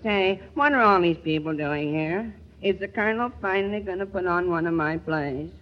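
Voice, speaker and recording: An actor playing a dry, deadpan laundry man in a 1940s radio comedy. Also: sits high, normal speed, lightly acted. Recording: hissy, dull old radio recording.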